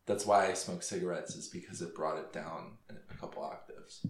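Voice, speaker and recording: Deep-voiced, dry-witted man in an echoey room. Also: steady tone, normal speed, a little hiss or hum. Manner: dry, teasing. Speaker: podcast guest. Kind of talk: casual chat.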